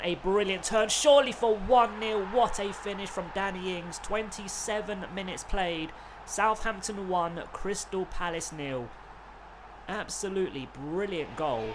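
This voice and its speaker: A man, who has a cold, doing excited play-by-play commentary over a football video game. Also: high voice, background noise. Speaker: gaming YouTuber.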